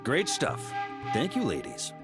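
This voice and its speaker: An actor playing a confident, encouraging commander in a video game, brisk and authoritative, with music underneath. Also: male voice, medium pitch, huge pitch swings. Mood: upbeat. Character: confident, encouraging young male expedition commander.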